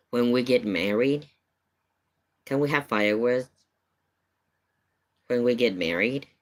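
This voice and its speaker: A streamer chatting casually and telling a rambling story, with hesitant, repetitive phrasing. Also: medium pitch. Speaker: live streamer reacting to videos. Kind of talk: casual livestream chat, rambling anecdote.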